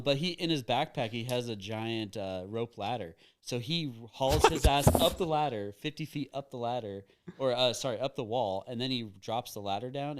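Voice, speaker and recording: A man's voice: medium pitch, clear, resonant tone. A man telling a rambling story in casual chat among friends on a podcast, often laughing as he talks. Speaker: podcast guest.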